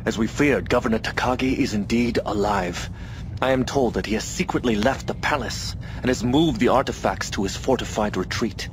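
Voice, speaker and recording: An actor playing a formal, scheming rebel lieutenant who speaks in a measured, stiff way. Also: male voice, lowish voice, noisy background, natural voice. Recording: game cutscene with music behind.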